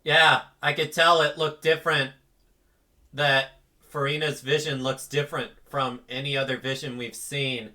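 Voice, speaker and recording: A man's voice: medium pitch, level tone, moderate pace, bright, forceful tone. A man hosting a game reaction video, giving casual, emotional commentary. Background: game music.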